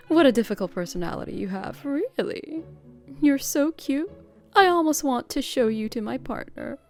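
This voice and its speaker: A woman playing a bewitching, teasing bar proprietress in a manga drama, coy and flattering. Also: high-pitched, moderate pace, soft background music. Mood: playful teasing. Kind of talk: scripted manga drama dialogue.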